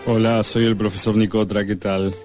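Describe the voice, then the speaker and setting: An actor playing a self-important, nitpicking piano professor with an Argentine accent in a comic radio soap, pompous and deliberate. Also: male voice, lowish voice, little pitch movement, close to an everyday voice. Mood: pompous, appraising. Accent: Argentine Spanish.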